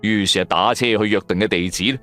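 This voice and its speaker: A man's voice: fairly low pitch, quick pace, a bit bright. A man with a Cantonese accent narrating a supernatural serial in the first person, in a dramatic, colloquial storytelling manner. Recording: music bed under the voice. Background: background music.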